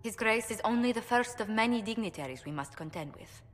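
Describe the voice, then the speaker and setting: A woman voicing a gracious court ambassador, smooth diplomatic manner, long reverb, video game. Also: fairly high pitch, expressive, a little fast, faint music behind, natural voice. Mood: composed. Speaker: game character.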